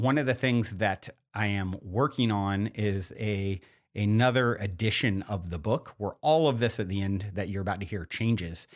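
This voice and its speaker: A man hosting a podcast, talking casually in a rambling, enthusiastic and informal way. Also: lowish voice. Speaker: podcast host.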